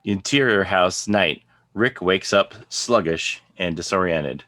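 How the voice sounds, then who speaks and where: A man's voice: low-pitched, slow pace, sharp tone, no room sound. A man narrating a script, reading out scene headings and stage directions as a narrator. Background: guitar music.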